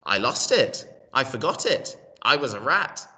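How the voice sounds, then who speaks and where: A man's voice: medium pitch, big pitch swings, measured pace, pushed and bright, big-room sound, far from the mic. A man voicing a scruffy little boy in a storybook, innocent, earnest and puzzled, speaking in simple, short, unschooled phrases. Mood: puzzled, insistent.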